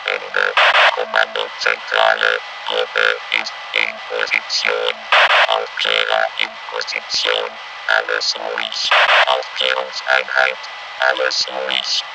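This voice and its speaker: A man's voice: a bass voice, monotone, slow, vocal fry, full character voice, low-quality recording. A man voicing a cold, menacing military combat robot in a video game, giving clipped, emotionless reports through robotic processing. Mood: clipped, mechanical. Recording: loud electronic music behind the voice. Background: loud synthesizer music.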